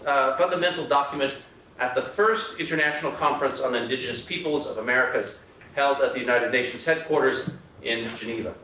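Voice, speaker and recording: A man opens a symposium from the podium, reading a formal introduction with a crisp, bright voice. The hall echoes around him, and he sits back from the microphone. A faint noise runs under the recording. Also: mid-range voice, recorded from a distance. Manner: reads a prepared introduction, with small slips. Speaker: symposium host introducing the keynote. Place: lecture hall.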